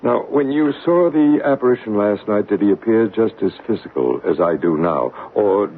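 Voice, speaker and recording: A calm, probing ghost investigator. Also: male voice, lowish voice, normal speed. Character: calm male parasensory investigator, adult. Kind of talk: 1970s radio drama acting. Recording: thin phone-like old radio broadcast with faint hiss.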